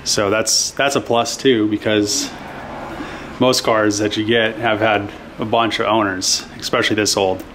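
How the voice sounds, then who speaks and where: A man's voice: on the low side, steady tone, measured pace, noisy background. A man in his thirties hosting a casual car vlog, talking in an easygoing, conversational way with plenty of fillers. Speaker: YouTube host. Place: car garage, then indoors at home.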